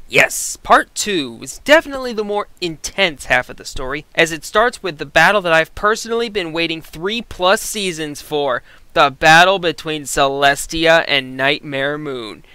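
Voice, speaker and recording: A man co-hosting a review in a conversational but scripted style, with an animated, emphatic manner. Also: fairly high pitch, moderate pace, few pauses, clear, resonant tone, dry recording. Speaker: co-host reviewer.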